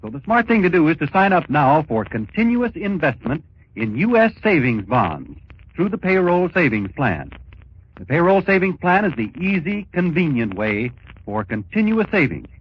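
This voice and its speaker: Male vintage radio announcer, highish voice with lively swings, emphatic sales read, muffled old broadcast sound. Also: husky. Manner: emphatic, measured, persuasive. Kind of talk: 1940s scripted radio public-service pitch. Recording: dull, noisy 1940s radio recording.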